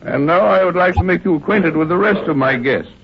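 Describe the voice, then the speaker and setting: A man's voice: high-pitched, slight background noise. An actor playing an eerie, cultured older male hotelkeeper who is morbidly courteous and draws out his words, in vintage radio mystery style. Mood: courteous hosting. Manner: slow, drawn-out, cultured, ghoulishly genteel. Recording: muffled, thin vintage radio recording.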